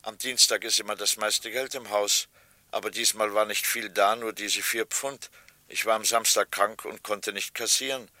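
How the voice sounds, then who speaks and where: Detached husband, sharp low voice. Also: male voice, steady tone, normal speed, dry recording. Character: Mr. Wallace, bereaved husband, oddly detached and matter-of-fact. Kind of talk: 1960s German radio play acting.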